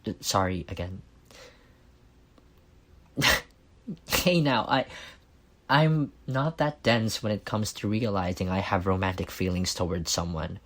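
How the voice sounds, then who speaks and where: A man acting a reserved, analytical young man in an intimate ASMR romance roleplay, speaking softly and deliberately with some hesitation. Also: low voice. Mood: flustered, apologetic. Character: reserved, analytical young man confessing love to best friend.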